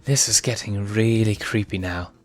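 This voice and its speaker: A man's voice: on the low side, normal speed, soft background music, lightly acted. A man voicing a sensible, spirited young female lion cub with a teasing, questioning manner. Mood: uneasy.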